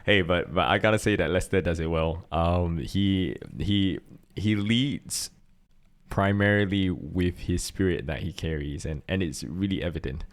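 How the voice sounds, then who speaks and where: Low-voiced Singaporean man, earnest. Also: a little slow. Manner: earnest, thinks aloud with restarts. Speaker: podcast co-host. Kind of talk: casual chat.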